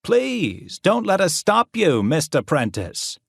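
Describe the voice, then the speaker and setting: A man's voice: on the high side, huge pitch swings, takes it slowly, gravelly, fairly bright tone, breathy edge, no room sound, clean studio sound, voice pushed up, heavily acted. A man voicing a theatrical college professor in an audiobook, declaiming like a preacher with mocking, taunting questions. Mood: mocking, sarcastic. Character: ranting theatrical male college professor, sarcastic and menacing. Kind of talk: audiobook character voice.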